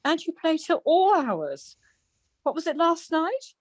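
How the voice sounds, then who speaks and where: An actress playing a dry, sarcastic, teasing middle-aged wife in an amateur radio play. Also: female voice, very high-pitched, very animated, measured pace, no room sound, full character voice.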